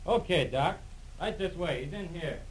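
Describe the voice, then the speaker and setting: A man's voice: on the high side, expressive, normal speed, slight background noise, lightly acted, low-quality recording. A man playing a tough gangster henchman, slangy and bossy, in 1940s radio drama style. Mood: coaxing, menacing. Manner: slangy, barking orders. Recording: old radio serial recording.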